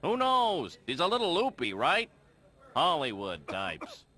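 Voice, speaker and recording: An actor playing a brash, sceptical male press reporter in a video game, excitable and pushy. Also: on the high side, measured pace, faint music behind, full character voice. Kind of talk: video game cutscene acting.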